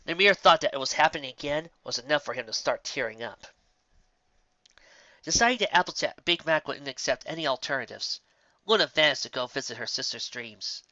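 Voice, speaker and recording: A man's voice: medium pitch, lively intonation, a little fast, sharp tone, no room sound, highs cut off. A man reading scripted fan fiction aloud as the narrator.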